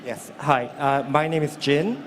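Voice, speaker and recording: A man's voice: on the high side, steady tone, unhurried. Man on a panel, faint event noise. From South Korea. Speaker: clinical assistant professor, panel member. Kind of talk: live panel discussion. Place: live stream stage at a busy event.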